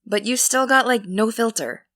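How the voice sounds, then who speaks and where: A young actress playing an earnest high school girl who wants to be a journalist, defensive and dry. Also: normal speed, a bit bright, no echo, close to an everyday voice. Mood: pleading. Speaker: student podcast host. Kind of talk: audio drama.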